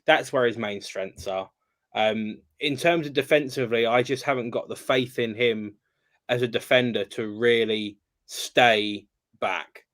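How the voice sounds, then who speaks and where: A man's voice: medium pitch, measured pace, a bit bright. A man on a casual football podcast, hesitant and rambling with many ums. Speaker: guest panelist.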